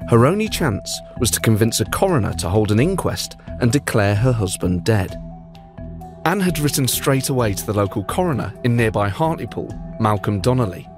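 Male podcast host, low-pitched, measured scripted narration with dry wit. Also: big pitch swings, music in the background. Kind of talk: scripted storytelling narration with banter.

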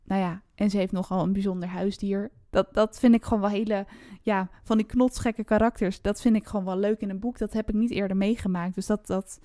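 A woman's voice: medium pitch, fairly even intonation, brisk, hardly any pauses, warm tone, dry recording, studio quality. A woman chatting casually about books as a podcast host, in a conversational, unscripted way. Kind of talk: casual book discussion.

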